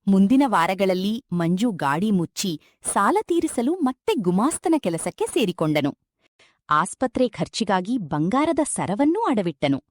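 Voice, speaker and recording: A woman's voice: mid-range voice, no room sound, studio quality. A woman reading a scripted moral story as narrator.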